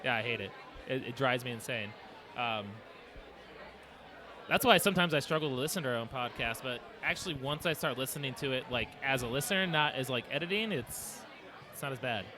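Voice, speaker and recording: A man's voice: medium pitch, lively intonation, brisk, some chatter behind. A young man co-hosting a podcast, chatting and laughing over beers outdoors at a brewery. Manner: laughs often, jokey and chatty. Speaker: podcast co-host. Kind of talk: casual chat over beers.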